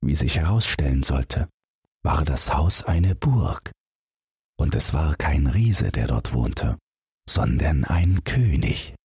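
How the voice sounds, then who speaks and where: A man with an extremely low, heavily husky voice reads a bedtime fairytale. His delivery is unhurried and expressive, with lively rises and falls. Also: no room sound, studio quality. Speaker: audiobook narrator. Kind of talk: scripted bedtime fairytale narration.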